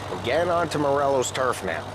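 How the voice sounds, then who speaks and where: A man's voice: mid-range voice, very animated, brisk, lightly acted. An actor playing a brash male gangster in a game cutscene, talking tough street slang and mocking his friends. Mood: wary. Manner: tough street slang, loud teasing laughs. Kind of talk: video game cutscene acting. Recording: car and street noise.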